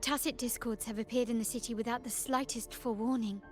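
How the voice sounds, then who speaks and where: A fairly high female voice from an actress as a refined noblewoman in a video game. She is composed, courteous and formal. Faint music plays behind her. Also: fairly even intonation, fast, a little mellow, natural voice. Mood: composed, gracious. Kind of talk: dramatic video game voice acting.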